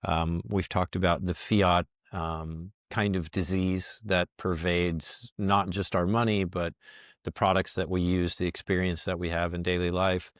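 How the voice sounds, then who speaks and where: A man's voice: sits low, little pitch movement, measured pace, no echo, studio quality. A man hosting a casual podcast interview, wrapping up with friendly, rambling remarks and many fillers. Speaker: podcast host.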